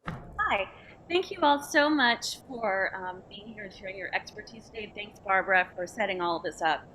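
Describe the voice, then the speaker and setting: A woman's voice: mid-range voice, lively intonation, moderate pace, pushed and bright, a little hiss or hum, low-quality recording. A woman politely and thoughtfully putting a conversational question to a panel. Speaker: actor audience member asking a question.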